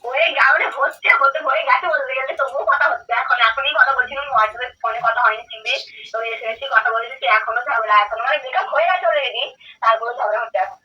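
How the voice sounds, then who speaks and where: Young woman on a dull phone line, quick, insistent, questioning casual talk. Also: fairly high pitch, recorded from a distance. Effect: telephone. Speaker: prank call recipient.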